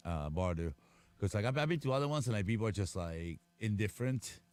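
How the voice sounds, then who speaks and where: A man's voice: fairly low pitch, animated, fast talker, a little mellow, clean tone. A man in casual chat after a karaoke night, talking in a slangy, stammering way with lots of swearing.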